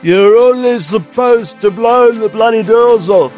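A man's voice: high voice, a little slow, a little mellow, soft background music, pitched above the performer's own voice. A man voicing a snarky butler who makes dry quips in a comic story reading. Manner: snarky, quipping.

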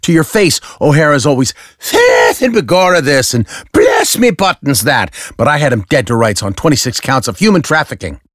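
A fussy, high-strung prosecutor, as a man voices him in a comic audiobook. The voice sits well above the narrator's own and stays pinched and loud, in a dry, close recording. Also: huge pitch swings, normal speed, few pauses, dry recording, full character voice. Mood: heated. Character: high-strung fussy male district attorney.

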